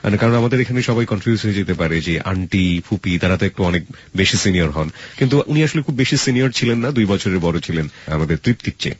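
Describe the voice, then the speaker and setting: A man's voice: sits low, expressive, quick pace, breathy edge, highs cut off. A man hosting a conversational radio interview, often interjecting and slipping in English phrases. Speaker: radio show host.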